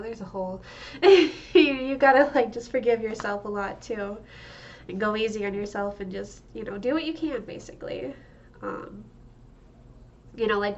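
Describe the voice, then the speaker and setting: A woman's voice: medium pitch, distant mic, dull audio, slight background noise. A young woman with a stuffed-up nose, chatting in a rambling vlog with lots of fillers and laughs. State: stuffed up, congested. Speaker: vlogger. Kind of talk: casual monthly check-in vlog. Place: home room.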